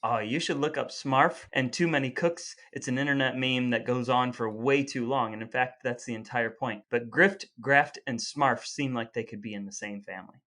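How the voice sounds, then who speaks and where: A man's voice: mid-range voice, moderate pace, hardly any pauses, sharp tone. A man co-hosting a casual podcast chat with his brother, playful and fond of wordplay. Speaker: podcast co-host.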